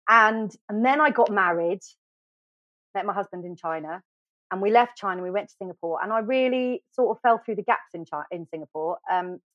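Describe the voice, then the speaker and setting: A middle-aged woman from the UK, a podcast guest telling her story in a chatty, animated way with lots of fillers and restarts. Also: medium pitch, normal speed, no echo. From United Kingdom. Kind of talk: casual storytelling interview. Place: podcast studio. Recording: dry, slightly dull studio sound.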